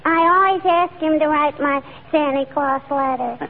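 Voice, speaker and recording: Cheeky, very high-voiced little girl. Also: moderate pace, narrow, tinny audio. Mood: cheeky, innocent. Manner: childish, bantering, repeats a pet phrase. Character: cheeky, giggly little girl who pesters a grown man. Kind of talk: 1940s radio comedy acting. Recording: scratchy, band-limited old radio with audience.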